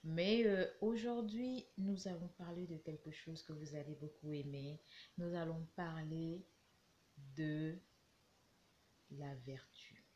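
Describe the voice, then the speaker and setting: A woman vlogger with a cold, talking casually to camera and giving her view with slow, hesitant, reflective phrasing. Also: low voice, dark tone, muffled recording, slight background noise. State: has a cold.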